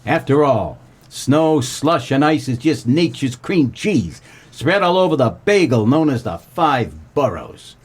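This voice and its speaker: An actor playing a wry, world-weary 1940s private eye, narrating a comedic noir radio play. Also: male voice, medium pitch, measured pace, hardly any pauses, husky. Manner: wry, deadpan, colloquial.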